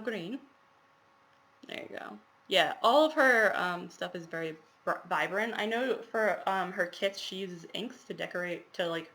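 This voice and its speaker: Woman vlogger, stuffy nose, bright tone, rambling casual chat. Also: lowish voice, poor audio. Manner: rambling, lots of fillers and asides. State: congested with a head cold. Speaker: cross-stitch vlogger. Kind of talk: casual show-and-tell chat.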